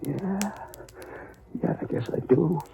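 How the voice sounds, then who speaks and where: An actor playing a gravely injured, repentant cowboy in a 1950s radio western, weak and halting as he struggles to talk. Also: a little high. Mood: weak, reconciled. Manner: halting, haltingly repeats phrases. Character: fatally injured cowboy, repentant brother and proud husband. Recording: muffled, noisy old radio recording.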